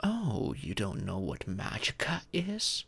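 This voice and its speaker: Male actor as stately, kindly dragon parent for audio roleplay: formal diction, unhurried delivery, expressive swings in pitch. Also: creaky, husky, heavily acted. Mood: genial, explanatory. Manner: formal, measured, theatrical, unhurried. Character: gentle, stately dragon adopting a sacrificed child. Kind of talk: audio roleplay addressed to the listener.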